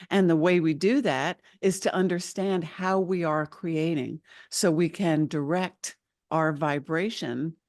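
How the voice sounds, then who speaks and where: A woman's voice: lowish voice, a little mellow, no room sound, clean studio sound. A woman channeling spiritual teachings as a guest, speaking earnestly and insistently as a collective "we" over a video call.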